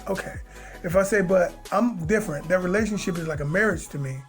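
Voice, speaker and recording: A man's voice: high voice, quick pace, faint music behind. A man co-hosting a podcast, talking in a playful, teasing way with streetwise slang. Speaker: podcast co-host. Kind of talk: casual chat.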